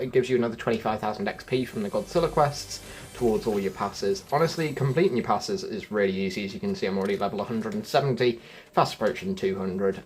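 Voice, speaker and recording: A man's voice: mid-range voice, slight background noise. A man giving casual gameplay commentary as a video host, informal and a little stumbling in how he talks.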